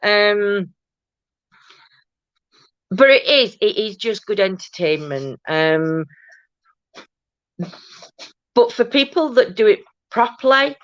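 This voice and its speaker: A woman hosting a paranormal chat stream, talking casually with a loud, booming voice and many restarts. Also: expressive, slow pace, long pauses, no echo. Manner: rambling, many restarts and "do you know what I mean". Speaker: host of a paranormal chat show. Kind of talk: casual live-stream chat reading viewer comments. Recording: dull, dry low-fi stream audio.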